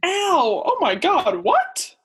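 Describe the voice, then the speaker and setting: A woman's voice: very high-pitched, wildly swooping pitch, slow pace, a bit bright, full character voice. A young woman playing a naive, oblivious male oceanographer in a student radio play, earnest and melodramatic. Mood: panicked. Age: young adult.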